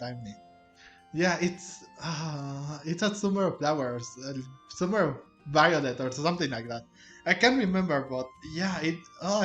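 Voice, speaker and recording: A man's voice: a little high, a bit bright, roomy sound, dull audio. A man hosting a gameplay video with casual, hesitant commentary, searching for his words. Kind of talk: casual let's play commentary.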